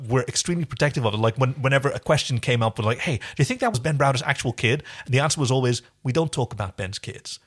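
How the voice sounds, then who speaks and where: A man with an average-pitched voice co-hosts a casual podcast. He recaps television in a conversational way, with a wry, joking slant to his commentary. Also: quick pace, few pauses, husky. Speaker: podcast co-host. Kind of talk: casual TV episode recap chat.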